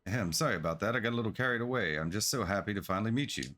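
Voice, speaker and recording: A man's voice: lowish voice, animated, rapid-fire, a bit bright. A man reading the part of an eager, polite merchant in a game, with effusive, formal sales patter. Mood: happy, apologetic. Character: eager, polite merchant founder of a travel agency. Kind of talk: game quest dialogue read aloud. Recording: music behind the voice.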